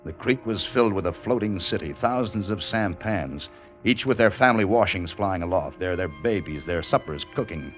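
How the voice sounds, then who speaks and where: Hard-boiled adventurer, American, terse, wry, lively pitch swings, vintage radio drama. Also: male voice, moderate pace. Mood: tense, breathless. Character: hard-boiled American adventurer telling his own escape story. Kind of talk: 1940s-50s radio drama acting, first-person narration. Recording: vintage radio with faint music behind.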